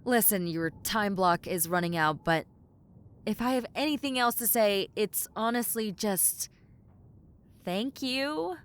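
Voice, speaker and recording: A woman's voice: mid-range voice, a little hiss or hum. A woman playing a centuries-old tomboy succubus in an audio roleplay, wry and flustered but kind, talking casually to the listener. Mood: flustered, grateful.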